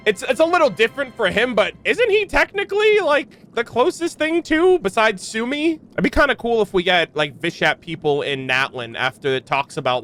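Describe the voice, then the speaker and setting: A man with a high voice giving casual reaction commentary as a game streamer. He is expressive and slangy, quick to joke, with faint music in the background.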